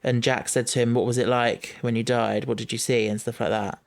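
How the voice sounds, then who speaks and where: A man's voice: mid-range voice, little pitch movement, a little fast, vocal fry. A man co-hosting a casual podcast chat, thinking aloud with many restarts and stumbles. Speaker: podcast co-host.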